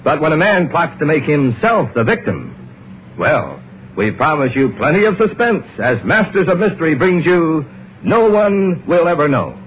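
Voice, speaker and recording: A man's voice: fairly high pitch, very animated, normal speed, a little hiss or hum. A man hosting a radio mystery show, introducing the drama in a polished, dramatic announcer's delivery. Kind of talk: scripted announcing. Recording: muffled old radio broadcast with music.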